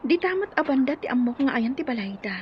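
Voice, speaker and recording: A woman's voice: high voice, very animated, quick pace. An actress with an Ilocano accent playing a sweet, eager young woman and affectionate daughter in a radio drama. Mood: soft, thoughtful. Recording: dull lo-fi audio, faint background noise.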